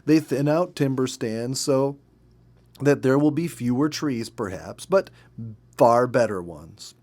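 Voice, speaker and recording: A man reads a story aloud in an expressive, measured, emphatic way. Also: mid-range voice. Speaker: storybook reader. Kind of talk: read-aloud storytelling from a nature memoir.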